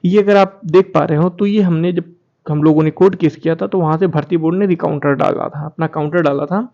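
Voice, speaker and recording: Higher-pitched man explaining, dull recording. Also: steady tone, a little fast, vocal fry, a little mellow. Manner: repeats key phrases for emphasis, addresses viewers directly. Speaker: YouTube channel host presenting documents. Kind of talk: informal explainer, reading documents aloud with commentary. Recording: dull, muffled lo-fi sound.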